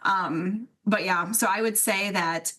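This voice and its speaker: Woman, forties, podcast co-host. Casual, animated storyteller, mid-range voice. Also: medium pitch, slow. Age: 40s. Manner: animated storyteller, many restarts. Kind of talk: casual wellness chat.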